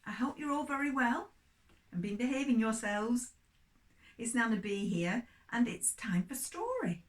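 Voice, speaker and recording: Slow-paced older woman telling children's stories. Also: on the high side. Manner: expressive, addressing small children, drawn-out words. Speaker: storyteller for young children. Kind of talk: children's story narration.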